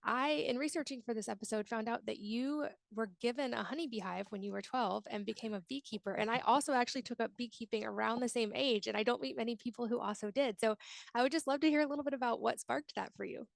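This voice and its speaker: A woman's voice: fairly high pitch, fast talker, gravelly, no echo. A woman hosting a podcast, talking in a conversational interview style. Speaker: podcast host.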